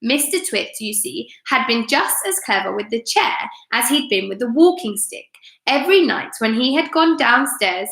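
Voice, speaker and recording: A woman teacher hosting a chatty live story time for children, expressive and encouraging as she speaks directly to her viewers. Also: on the high side, normal speed, vocal fry. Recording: echoey room.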